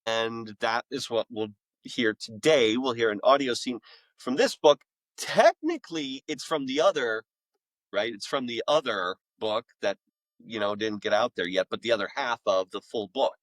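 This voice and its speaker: A man with a mid-range voice chats as a guest author on a podcast. He speaks informally and haltingly, wandering from one thought to the next. The sound is dry, with very little room in it. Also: mid-range voice, no echo, rough recording. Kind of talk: casual conversational interview.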